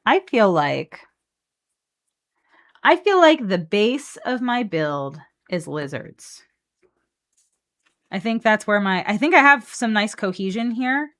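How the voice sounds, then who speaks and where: A woman chatting casually on a live game stream, playful and animated as she thinks out loud. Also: medium pitch, slow pace, long pauses. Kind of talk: casual live-stream chat while building a card deck.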